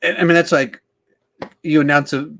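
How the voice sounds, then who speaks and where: A man's voice: fairly high pitch, steady tone, moderate pace, fairly bright tone. A man hosting a podcast, casually discussing films and reading out viewer questions in an emphatic, conversational way, thinking aloud as he goes. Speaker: podcast host.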